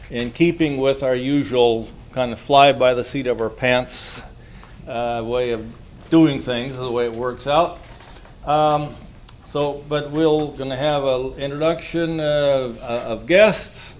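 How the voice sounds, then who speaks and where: A man hosting an informal club meeting, speaking to the room in a folksy, halting way with repeats and self-interruptions. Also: medium pitch. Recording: noisy live meeting room recording.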